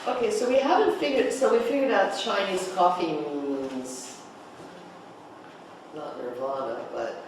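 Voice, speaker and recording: A person leading a group discussion of a play, asking probing questions and thinking aloud in halting phrases. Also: mid-range voice. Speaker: discussion leader, director of a play reading. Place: echoey room, group table read. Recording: roomy, echoey, noisy distant mic.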